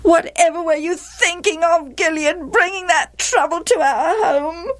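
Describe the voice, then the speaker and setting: A woman's voice: very high-pitched, expressive, fairly bright tone, voice pushed up, exaggerated voice. A woman voicing a bitter, overwrought stepmother who scolds and nags in a storybook tale. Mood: wailing reproach. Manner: scolding, wailing, drawn-out emphatic words.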